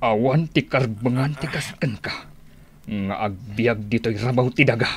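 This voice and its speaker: A man's voice: mid-range voice, measured pace, a crowd in the background, lightly acted. An actor playing an enraged man out for vengeance in a radio drama, with an Ilocano accent.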